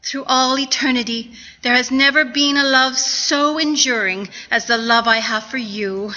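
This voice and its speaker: Actress as a sentimental high-voiced wife in a hall. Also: female voice, a little slow, bright, forceful tone. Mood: tender. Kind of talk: radio comedy stage acting. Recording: muffled, reverberant event hall.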